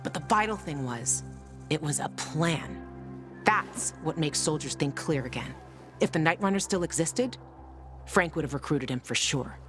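A tough young woman fighter from a video game, blunt and wry, swearing freely but loyal. Her voice sits a little low and moves with lively intonation over music. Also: moderate pace. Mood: matter-of-fact. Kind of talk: video game dialogue acting.

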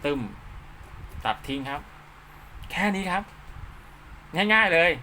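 A man's voice: high voice, slow pace, pushed and bright, slight background noise. A man giving an informal hands-on tutorial step by step, often checking that the listener follows. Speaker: instructor, channel host.

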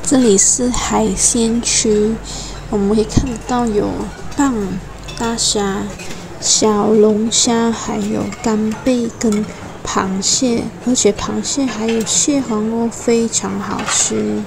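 Slow-talking woman. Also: a little high, fairly even intonation, vocal fry. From Malaysia. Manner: unhurried, pointing out dishes one by one. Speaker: food vlogger. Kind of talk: casual food tour narration. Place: hotel buffet restaurant. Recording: restaurant noise behind the voice.

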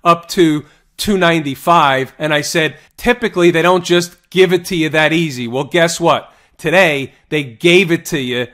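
Male trading educator, fairly high-pitched, energetic screen-share voice-over. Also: normal speed. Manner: energetic, rhetorical questions, sports metaphors. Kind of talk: stock market chart analysis.

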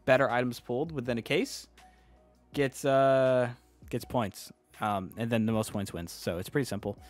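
A young man who is getting sick and losing his voice gives chatty, excitable commentary over his own screen. His pitch sits in an ordinary middle range, and he often sinks to low muttering. Faint music plays behind him. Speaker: online gambling video creator. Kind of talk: casual gameplay commentary.

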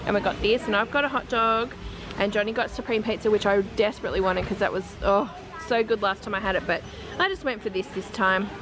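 A woman in her forties with an Australian accent, chatting excitedly and laughing as she films a shopping trip on a handheld camera inside a big warehouse store. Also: medium pitch, fast. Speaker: family vlogger. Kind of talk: casual vlog narration while shopping. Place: large warehouse store indoors.

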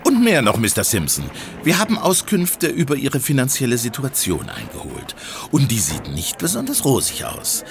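An actor playing a smug, hectoring police inspector in an audio drama, pressing and accusatory, with some ambience behind him. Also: male voice, medium pitch, huge pitch swings, moderate pace, creaky, music in the background. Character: triumphant, hectoring male police inspector interrogating suspect.

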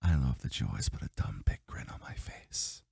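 Man playing a very deep, husky doting boyfriend. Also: lively intonation, mellow, no room sound, clean studio sound, full character voice. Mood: tender. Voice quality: breathy, husky, gravelly. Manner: slow, murmured, often dropping to a whisper. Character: doting, teasing demon boyfriend, adult man, low and intimate. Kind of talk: ASMR boyfriend roleplay. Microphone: close microphone, dry.

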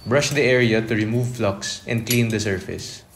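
A man's voice: lowish voice, steady tone, moderate pace, creaky. A man giving a scripted, step-by-step voice-over for a repair tutorial, explaining each stage evenly.

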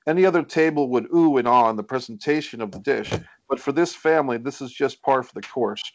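Mid-pitched man reading screenplay directions. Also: a little fast, muffled recording. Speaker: stage directions reader. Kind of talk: scripted screenplay narration.

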